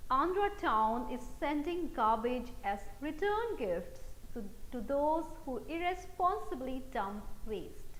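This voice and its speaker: A woman with an Indian accent anchoring a scripted news bulletin. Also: sits high. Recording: roomy echo, faint background noise.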